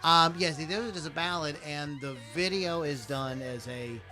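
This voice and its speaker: Bright-voiced man rambling casually. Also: a little high, normal speed, soft background music. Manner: rambling, full of fillers and restarts; loose and profane. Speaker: podcast host. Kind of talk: casual chat about songs.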